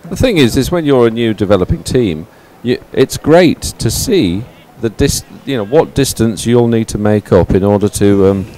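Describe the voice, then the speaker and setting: A man's voice: on the low side, lively intonation, moderate pace, few pauses, dark tone. A man giving chatty, encouraging live commentary as a sports commentator at a boat race. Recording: outdoor background noise.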